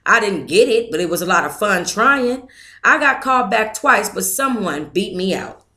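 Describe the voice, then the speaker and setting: Bright-voiced woman reading a memoir aloud. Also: mid-range voice, animated, normal speed. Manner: reads in first person, breaks off to thank donors and comment. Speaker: book club host reading aloud.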